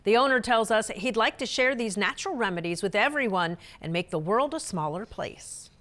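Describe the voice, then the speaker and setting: A woman's voice: medium pitch, lively intonation, moderate pace, fairly bright tone. A woman reporter reading scripted narration for local news stories.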